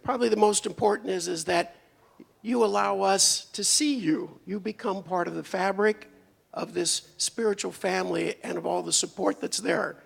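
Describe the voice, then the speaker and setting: A hoarse man giving upbeat, chatty membership announcements at a church service. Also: high-pitched, steady tone, normal speed. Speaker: membership speaker at a spiritual center.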